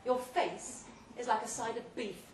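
A woman giving an emphatic, deliberately phrased dramatic reading of a second-person short story at a live event. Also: a little high. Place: indoor pub event, before an audience. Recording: roomy live pub recording, distant mic, faint noise.

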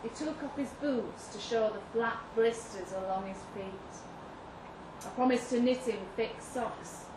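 A woman reads literary fiction aloud at an unhurried pace, leaving deliberate pauses between phrases. Her voice sits a little high and she delivers the story expressively. The live recording is echoey, with clear background noise. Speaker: reader of a short story at a live literary event. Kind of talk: expressive literary story reading in first person. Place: live reading event in an indoor venue. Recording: roomy live venue, distant mic, noisy.

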